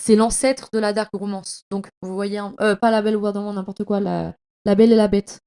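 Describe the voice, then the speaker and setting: A young woman chatting casually as a podcast host, in a slangy, effusive and emphatic way, often halting and stumbling over her words. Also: mid-range voice, level tone, dark tone, smooth. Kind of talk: casual, chatty monologue about reading.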